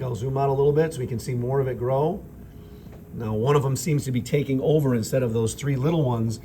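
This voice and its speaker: Slightly raspy male chemistry teacher. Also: medium pitch, a little hiss or hum. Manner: relaxed, explanatory, thinking aloud with fillers. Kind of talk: informal lab demonstration narration. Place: school chemistry lab with vents. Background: ventilation fans running.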